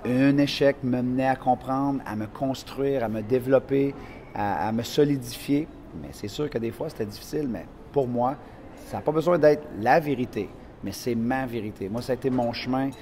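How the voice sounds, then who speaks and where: A man with a Quebec French accent tells stories in a relaxed chat. He talks in a middle pitch and brings the scenes to life with lively voices and quotes. A faint hiss of noise sits behind him. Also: moderate pace. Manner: animated, acts out scenes and quotes. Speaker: motivational speaker, guest. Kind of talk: casual storytelling chat.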